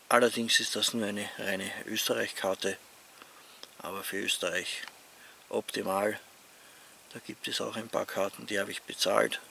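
Slow, gravelly Austrian man. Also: fairly low pitch, sharp tone. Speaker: outdoor vlogger. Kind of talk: casual talk to camera. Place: outdoors among leafy trees. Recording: outdoor ambient noise.

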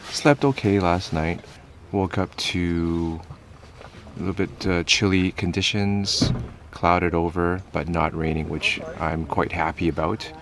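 A man narrating a casual camping and off-road vlog in a low-key, informal way, recorded outdoors. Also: fairly even intonation, measured pace, fairly bright tone. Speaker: vlog host. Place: outdoors at a campground and trails. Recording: background noise, outdoors on location.

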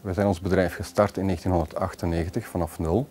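Low-voiced Flemish businessman explaining. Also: steady tone, quick pace, mellow. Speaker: company executive. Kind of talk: corporate interview. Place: office with glass wall. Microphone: lapel mic.

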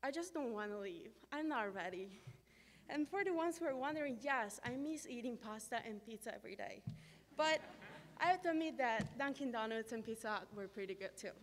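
A young woman with an Italian accent reads an earnest graduation speech into a podium microphone. Her voice sits a little high and rings through a long gym reverb. Her English is careful and heartfelt. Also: lively intonation, a little fast, a little hiss or hum. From Italy. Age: young adult. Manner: earnest, reading from notes at a podium. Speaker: exchange student giving a graduation speech. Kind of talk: prepared ceremony speech. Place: large school gym.

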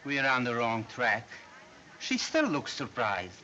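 A man playing a deadpan, critical studio makeup artist in an old film comedy, musing in drawn-out phrases. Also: medium pitch, heavily acted. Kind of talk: 1930s film comedy acting. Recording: muffled, hissy old film soundtrack.

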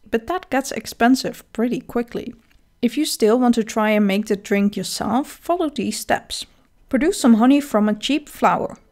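A woman's voice: a little high, darkish tone. A woman with a Dutch accent, a native Dutch speaker, narrating a scripted game guide with dry, self-mocking humor.

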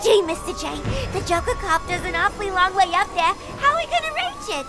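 A woman's voice: very high voice, very animated, fast. A woman voicing a mischievous young clown villain sidekick in a video game, cutesy, playful and sassy, over music. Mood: perky, questioning. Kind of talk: video game cutscene acting. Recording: loud game music behind.

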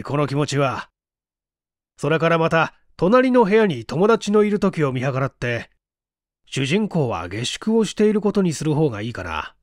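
An eager, smug writer from a storybook, acted by a man, rambles through his explanations. His pitch swings widely, and the sound is close and dry. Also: dry recording. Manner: self-satisfied, explanatory, rambling.

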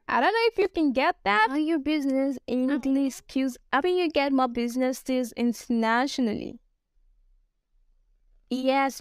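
Nigerian woman coach, podcast monologue, high voice, slow, dry close mic. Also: no room sound. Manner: spells out words slowly, promotional. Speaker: business English coach.

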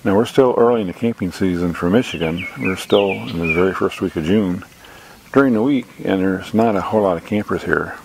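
A middle-aged man giving a casual, unscripted walk-through in a matter-of-fact way with hesitant pauses, talking outdoors from behind a handheld camera. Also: deep voice, creaky, a little hiss or hum, rough recording. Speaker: campground reviewer and vlogger. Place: outdoors at a lakeside campground. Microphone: handheld camera mic, operator off screen.

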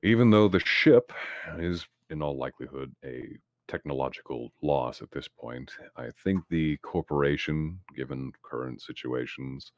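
Very deep-voiced man speaking formally. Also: expressive, no echo, clean studio sound. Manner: wordy, formal, deliberate corporate phrasing. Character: calculating, smooth-talking male corporate representative. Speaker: tabletop game player. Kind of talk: in-character improvised roleplay dialogue.

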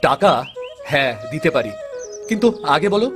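A man's voice: on the high side, big pitch swings, moderate pace, over music. A man playing a simple-minded village husband in a cartoon folktale, childlike, earnest and whiny. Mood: naive, sad. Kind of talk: fairy-tale cartoon acting.

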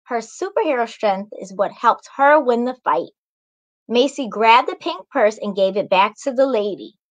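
A woman's voice: fairly high pitch, lively intonation, moderate pace, rough recording. A young adult woman, a children's author, reading a storybook aloud and explaining it simply to young listeners. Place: small indoor room.

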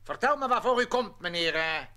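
A man's voice: high-pitched, expressive, brisk, sharp tone. A man playing a stuffy, humourless, grumpy village mayor in a children's audio play, pompous and huffing. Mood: stern, dismissive.

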